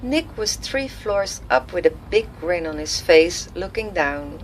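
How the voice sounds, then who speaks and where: Slow-paced woman narrating a story. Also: on the low side, lively intonation, poor audio. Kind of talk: scripted audio drama narration. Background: faint noise and ambient sound effects.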